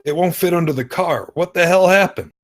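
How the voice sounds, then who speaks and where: A man's voice: high-pitched, a little fast. A man reading a suspicious, probing police detective in a screenplay table read. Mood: alarmed.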